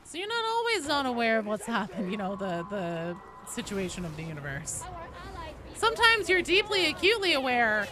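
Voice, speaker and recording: A woman's voice: a little high, wildly swooping pitch, moderate pace, a crowd in the background. A woman livestreaming a game, chatting casually and discursively about it, with frequent swearing. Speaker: game streamer. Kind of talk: casual livestream gaming chat.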